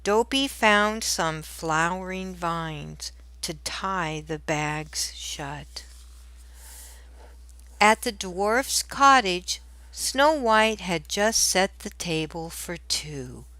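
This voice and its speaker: A woman reads a children's story in a slightly low voice. She is very slow and deliberate, yet expressive as a storyteller. The sound is dry and close. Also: no room sound. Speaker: storybook narrator. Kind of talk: scripted children's read-aloud narration.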